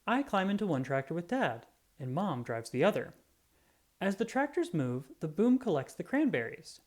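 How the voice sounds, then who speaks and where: Storybook reader, real bouncy and lively up and down, reading aloud for kids. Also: medium pitch, normal speed. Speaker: children's book reader. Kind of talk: reading a picture book aloud.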